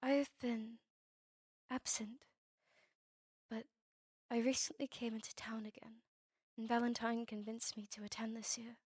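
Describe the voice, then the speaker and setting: A woman playing a guarded vampire heir in a scripted ASMR roleplay, measured, formal and cool. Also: fairly high pitch, little pitch movement, husky, no echo, clean studio sound. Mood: polite, reserved.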